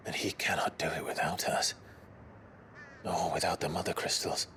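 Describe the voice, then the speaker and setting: Very deep, brooding warrior man. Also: steady tone, bright, forceful tone, in a whisper. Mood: resolute. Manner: grave, measured. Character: brooding, resolute warrior man, grave and weary. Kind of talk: dramatic video game acting.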